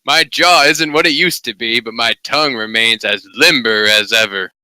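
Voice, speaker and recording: Man playing a lustful undead lord, bright forceful voice. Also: medium pitch, normal speed, no room sound. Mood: ardent, suave. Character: decaying undead nobleman, suave, lustful, impatient suitor. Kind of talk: dramatic romance reading.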